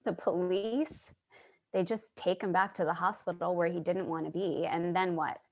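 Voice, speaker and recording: Actress as slow-spoken, concerned passerby. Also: female voice, on the low side, steady tone, darkish tone. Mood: wistful, reflective. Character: concerned female passerby narrating her encounters. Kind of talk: staged play reading, dialogue and monologue.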